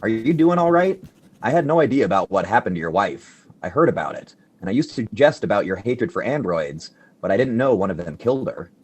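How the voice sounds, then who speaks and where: Man acting an exasperated bookish expert, husky, animated. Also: on the low side, fairly quick. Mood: awkward concern. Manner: dry, incredulous. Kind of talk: radio play dialogue.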